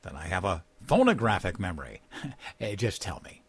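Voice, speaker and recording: A man's voice: sits low, huge pitch swings, moderate pace, slightly rough, heavily acted. Man playing a dim, swoopy-voiced comic president-elect. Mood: blithely confident. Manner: bumbling, naively cheerful, easily confused. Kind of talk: comedy audio drama dialogue.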